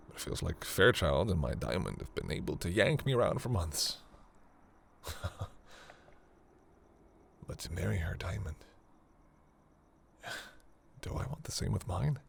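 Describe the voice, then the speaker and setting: A proud vampire lord, played by a man, speaks very low, with a heavy gravelly creak. He is theatrical and easily flustered, with a tender streak under the pride. It is intimate audio roleplay, with noticeable ambient noise behind the voice. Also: heavily acted. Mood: wistful, musing. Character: proud male vampire lord, flustered, secretly tender toward human lover.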